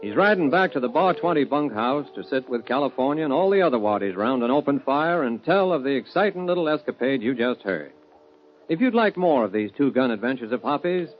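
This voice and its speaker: A man's voice: medium pitch, very animated, a little fast. A man with an American accent announcing a 1950s radio show in a hearty, theatrical, polished scripted style. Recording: muffled vintage radio recording with music.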